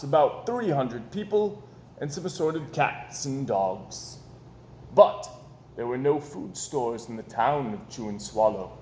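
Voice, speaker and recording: A man reading a children's story aloud in an expressive, animated storytelling style, with dramatic pauses and emphasis. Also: a little high. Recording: echoey room with background noise.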